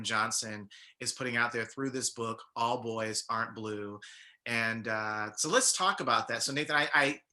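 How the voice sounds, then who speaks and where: Very bright-voiced American male interview host. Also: mid-range voice. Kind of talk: conversational interview hosting.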